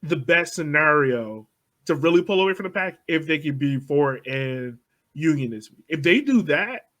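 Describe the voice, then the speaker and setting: Man, somewhat high voice, easygoing, podcast co-host, casual chat. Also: lively intonation, moderate pace, a bit bright.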